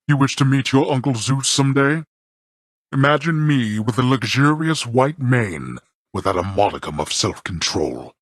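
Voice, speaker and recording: God of the dead, male, game character, imperious, very deep, husky, dry studio sound. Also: fairly bright tone, no echo, full character voice. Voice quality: deep, haughty, clipped and contemptuous. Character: imperious male god of the underworld, cold and disdainful. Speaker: underworld ruler scolding his son.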